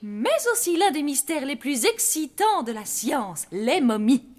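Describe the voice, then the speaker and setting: A woman's voice: sits high, very animated, a little slow. A woman playing a stern, sarcastic, lecturing schoolteacher in a French cartoon. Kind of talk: French animated cartoon dub acting.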